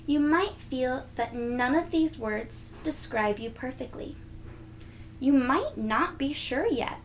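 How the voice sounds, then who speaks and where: A woman reading a storybook aloud to young children, expressive and gentle, speaking directly to them. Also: sits high, slow, slight background noise. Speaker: children's read-aloud host.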